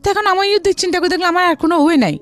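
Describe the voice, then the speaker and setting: A woman's voice: very high-pitched, racing, fairly bright tone, soft background music. A woman with a Bangladeshi accent, a guest recounting her own life story in conversation.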